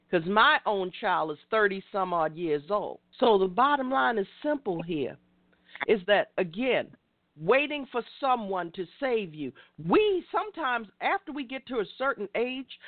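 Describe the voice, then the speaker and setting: A woman's voice: on the high side, dry recording, narrow, tinny audio. A woman in her fifties hosting a call-in talk show, speaking in a preachy way and addressing listeners directly. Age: 50s. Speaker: radio show host.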